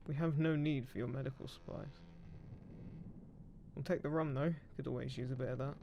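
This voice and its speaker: A man playing a roguish, smug, gruffly caring undead pirate captain in a roleplay audio drama, teasing and menacing, speaking unhurriedly to the listener. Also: mid-range voice, steady tone, fairly quick, highs cut off, slight background noise, close to an everyday voice. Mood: cool, taunting. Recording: constant ship creaking ambience behind voice. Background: creaking wooden ship sound effects.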